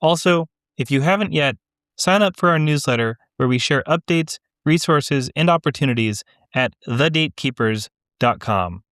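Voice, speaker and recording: A man's voice: fairly high pitch, expressive, normal speed, a bit bright, no echo, studio quality. A man co-hosting a podcast who reads out announcements and asks long, carefully framed questions. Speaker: podcast co-host.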